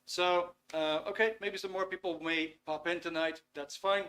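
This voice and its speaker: A man's voice: sits high, steady tone, normal speed, pushed and bright. A man from the Netherlands with a Dutch accent, hosting a live webinar from a small studio, chatting casually with lots of fillers and reading viewers' messages aloud. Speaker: webinar host. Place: small warm studio under lights.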